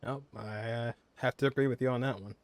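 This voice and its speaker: A man's voice: mid-range voice, steady tone, brisk, no room sound. A man gaming streamer giving casual, reactive gameplay commentary.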